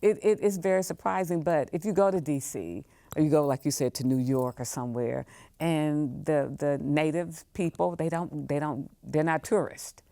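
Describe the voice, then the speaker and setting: A woman's voice: low voice, normal speed, creaky, warm tone, no echo. A woman talking as an interview guest, repeating words for emphasis. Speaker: museum leader, podcast guest. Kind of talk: conversational interview.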